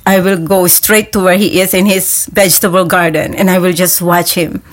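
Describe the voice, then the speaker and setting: A woman's voice: lowish voice, little pitch movement, moderate pace. A woman with a Filipino accent, a guest in a radio interview, talking reflectively about growing up. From Philippines. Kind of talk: relaxed interview chat.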